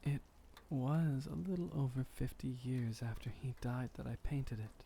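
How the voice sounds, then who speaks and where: Man acting as ancient vampire boyfriend, slow soft delivery, tender and teasing, old-fashioned phrasing, ASMR roleplay, faint ticking and background noise. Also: medium pitch, gravelly. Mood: wistful, reminiscing. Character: gentle, doting centuries-old male vampire boyfriend, teasing and soothing. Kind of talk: intimate ASMR comfort roleplay.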